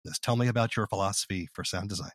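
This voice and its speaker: A man's voice: lowish voice, very fast. A man hosting a casual interview, talking in an explanatory, conversational way.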